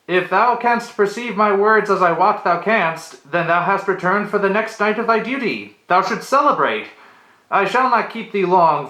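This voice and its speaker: A man's voice: a little high, sharp tone, roomy sound, low-quality recording. A man playing a nervous medieval tavern steward, speaking in mock-Shakespearean monologue with hesitant ums and theatrical, falsely reassuring archaic diction. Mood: brisk, cautioning.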